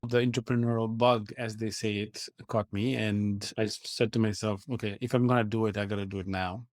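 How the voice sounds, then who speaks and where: A man in his forties from Nepal answers interview questions earnestly, explaining at length and sometimes restarting his sentences. His voice sits in a middle pitch. The sound is dry and close, with no room echo. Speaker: guest, AI company founder.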